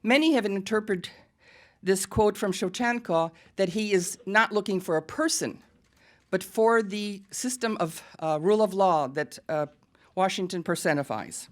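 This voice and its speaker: A woman's voice: mid-range voice, a little slow. An American woman giving an emphatic, earnest prepared speech at a press club podium. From United States. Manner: earnest and emphatic, with pointed asides. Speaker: guest speaker at a press event.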